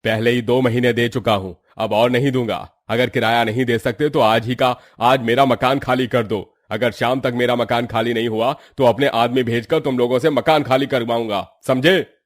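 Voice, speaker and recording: An actor playing a hard, threatening landlord in a moral story, blunt and demanding in manner. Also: male voice, medium pitch, steady tone, moderate pace, few pauses, close to an everyday voice.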